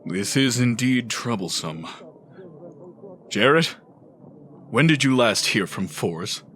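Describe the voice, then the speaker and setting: An actor playing a solemn, burdened warden lord, formal and grave, in a fantasy audio drama. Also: male voice, mid-range voice, lively intonation, takes it slowly, a bit bright, slight background noise. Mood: grave, troubled.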